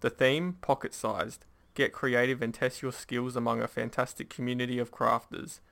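A man's voice: mid-range voice, little pitch movement, moderate pace, gravelly, fairly bright tone. A man hosting a casual podcast interview and reading the sponsor spot. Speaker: podcast host.

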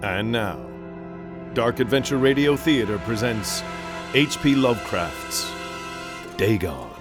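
A man's voice: fairly low pitch, lively intonation, slow pace, music in the background. An actor playing an emphatic 1930s radio sponsor announcer with a showy, old-fashioned salesman's delivery. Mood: grand, ominous.

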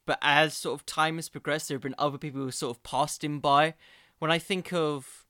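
A man's voice: on the high side, steady tone, fairly quick, bright, forceful tone, no room sound, clean studio sound. A man hosting a solo podcast, giving casual, opinionated commentary in an informal, digressive way. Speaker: podcast host.

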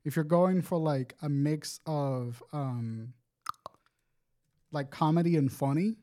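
Man, slightly high voice, podcast co-host, opinionated, emphatic, sweary casual chat. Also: slow pace, dark tone.

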